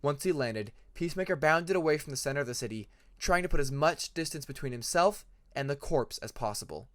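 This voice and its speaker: A man narrating a fantasy story like an audiobook, in an expressive, dramatic manner. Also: on the high side, brisk. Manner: expressive, acts out dramatic passages. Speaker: fan fiction narrator.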